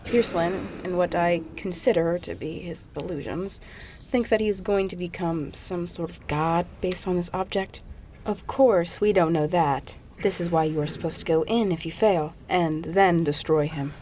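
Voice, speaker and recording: Woman as a low-voiced earnest scientist. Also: moderate pace, warm tone, a little hiss or hum, close to an everyday voice. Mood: earnest, explanatory. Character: earnest female space agency scientist giving briefing. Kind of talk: audio drama acting.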